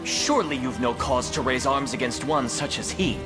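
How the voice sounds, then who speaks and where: A man's voice: mid-range voice, normal speed, music in the background, lightly acted. A man playing an earnest, loyal knight in a fantasy game, speaking in a formal, stern, courtly manner. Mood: indignant, stern. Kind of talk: video game fantasy acting.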